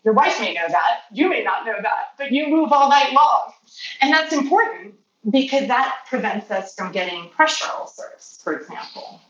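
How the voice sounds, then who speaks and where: A woman who grew up speaking Polish, answering interview questions as a healthcare professional in a measured, explanatory way. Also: a little high, animated, a bit bright, dull audio. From Poland or Polish-speaking family. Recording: roomy, distant mic, faint noise.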